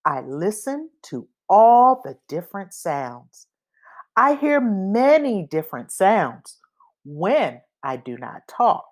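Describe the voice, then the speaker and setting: Woman reading a picture book, very unhurried. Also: medium pitch. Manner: deliberate, expressive, acts out sound effects. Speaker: read-aloud reader. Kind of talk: children's picture book read-aloud.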